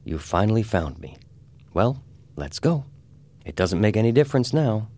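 Man playing a calm, low-voiced reformed safecracker. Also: moderate pace. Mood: resigned. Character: cool, wry reformed safecracker, young man.

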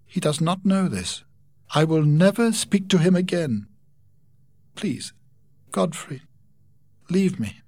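A man's voice: sits high, expressive, slow, pauses often, a little mellow, dry recording, pitched above the performer's own voice, exaggerated voice. A man voicing a heartbroken, earnest young woman in a spoken storybook, pleading and tearful. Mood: miserable.

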